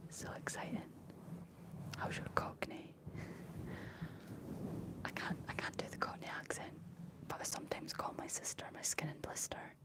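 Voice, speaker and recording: A woman's voice: normal speed, long pauses. Whispering Scottish woman, ASMR streamer. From Scotland. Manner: speaks entirely in a whisper. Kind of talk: whispered ASMR, reading and answering chat.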